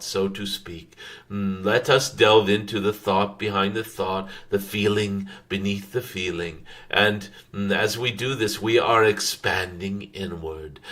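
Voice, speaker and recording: A man voicing a wise, serene dragon spirit in a channeled spiritual message, halting and contemplative with frequent hums and formal phrasing. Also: low-pitched, a bit bright. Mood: musing.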